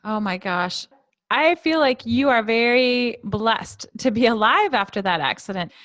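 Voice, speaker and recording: A woman's voice: on the high side, lively intonation, unhurried, a bit bright, no room sound. A woman hosting a casual conversational interview, responding to her guest in a supportive, encouraging way. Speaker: podcast host, interviewer.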